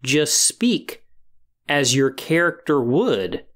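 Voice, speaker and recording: A man hosting a podcast monologue, explaining and giving tips in a measured way with emphatic phrasing. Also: fairly high pitch, very animated, a bit bright. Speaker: podcast host.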